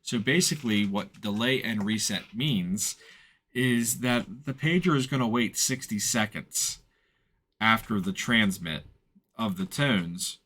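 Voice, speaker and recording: A man with a fairly low voice walks through a screen tutorial step by step. He explains things informally and without a script, keeping a matter-of-fact tone as he instructs. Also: measured pace, a bit bright. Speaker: instructor, software tutorial presenter.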